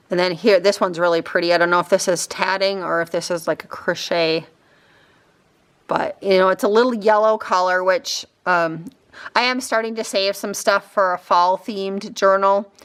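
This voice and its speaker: A woman chatting casually and a bit ramblingly as she shows craft finds, her throat slightly raspy. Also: fairly low pitch. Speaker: crafting vlogger. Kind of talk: casual chatty haul show-and-tell.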